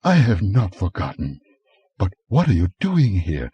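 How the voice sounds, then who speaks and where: A man's voice: low-pitched, huge pitch swings, measured pace, warm tone, breathy edge, lo-fi sound, exaggerated voice. A man reading an audiobook, voicing a nervous rich merchant who whispers and pleads in fear. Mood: pleading.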